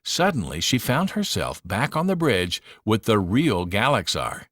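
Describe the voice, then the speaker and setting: A man narrating a children's storybook in an expressive, dramatic storytelling manner. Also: on the low side, measured pace, no room sound, studio quality.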